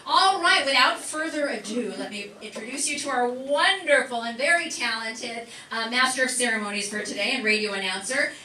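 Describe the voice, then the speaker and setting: A woman's voice: a little high, moderate pace, hardly any pauses, pushed and bright. A woman introducing a live show on stage as its host. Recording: roomy distant stage recording, audience noise.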